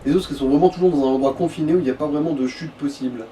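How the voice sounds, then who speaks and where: A man's voice: on the high side, racing, mellow, recorded from a distance, a little hiss or hum. A man giving casual, explanatory advice on a video game. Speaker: co-commentator advising the player. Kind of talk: casual gaming commentary.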